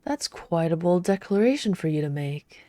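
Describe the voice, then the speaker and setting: A woman playing a reserved, dutiful knight in an ASMR roleplay, speaking formally with dry, measured restraint. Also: lowish voice, expressive, warm tone, no room sound, lightly acted. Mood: wry, restrained. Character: reserved, dutiful female knight, dryly scolding royal lover.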